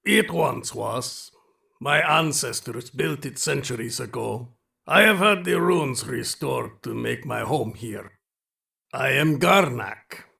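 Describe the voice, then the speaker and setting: Creature role: towering reptilian nobleman, very gravelly, slow and swooping, courtly with quiet menace, space audio drama. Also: mid-range voice, animated, full character voice. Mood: courtly welcome. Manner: formal, courtly, deliberate, theatrical. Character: towering male reptilian alien aristocrat, genteel, sinister hunting host.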